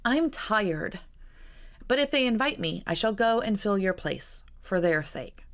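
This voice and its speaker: Woman acting a gentle, creaky-voiced weary elder sister. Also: mid-range voice, animated, a little slow, voice pushed up. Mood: weary, reluctant.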